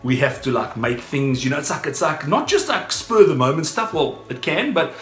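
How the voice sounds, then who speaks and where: A man's voice: fairly high pitch, lively intonation, a little fast. Blunt, crude-talking man in an echoey room. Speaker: couple vlogger. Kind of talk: casual chat. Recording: echoey room with background music.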